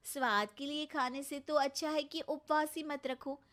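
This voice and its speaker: A woman's voice: high voice, steady tone, moderate pace. A young woman voicing a polite, dutiful daughter-in-law in a cartoon, speaking earnestly. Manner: earnest, gently pleading.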